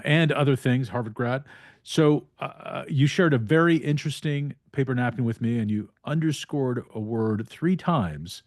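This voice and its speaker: A man hosting a podcast interview, asking questions in a halting way with many hesitations. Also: medium pitch, no echo, clean studio sound. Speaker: podcast host.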